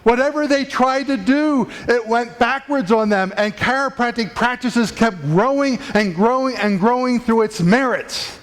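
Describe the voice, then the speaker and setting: A man's voice: very high-pitched, a little slow, hardly any pauses. A man giving an emphatic, preachy talk to fellow professionals in a conference room. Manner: preachy, emphatic, builds up intensity. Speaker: chiropractic speaker. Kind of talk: motivational talk to a live audience.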